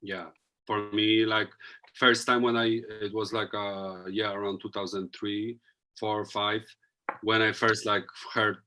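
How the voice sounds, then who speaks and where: A man's voice: fairly low pitch, little pitch movement, unhurried, pushed and bright, poor audio. Polish man rambling casually. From Poland. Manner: loose, filler-heavy, thinking aloud. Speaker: DJ guest. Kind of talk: casual interview chat.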